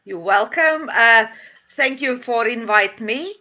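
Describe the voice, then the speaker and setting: Latvian-accented woman, halting, on a dull video call. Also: a little high, lively intonation, sharp tone. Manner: halting non-native English with pauses and fillers. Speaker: guest. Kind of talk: online talk show interview.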